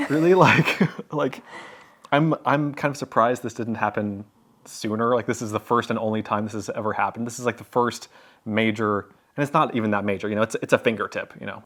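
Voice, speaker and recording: A man's voice: lowish voice, a bit bright. A man vlogging to camera, telling a story in a casual, rambling way, full of fillers and restarts. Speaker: travel vlogger.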